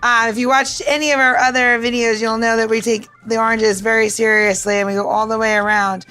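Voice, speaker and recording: A woman's voice: on the high side, flat delivery, a little fast, pushed and bright, soft background music. A woman podcast host giving casual, playful instructions in an informal way. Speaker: podcast host, drink mixer.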